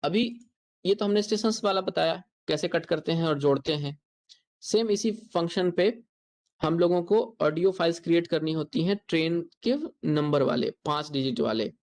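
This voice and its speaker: An instructor with an Indian accent delivers a software tutorial. The explanation moves step by step in a mid-pitched voice, with key points repeated along the way. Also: normal speed.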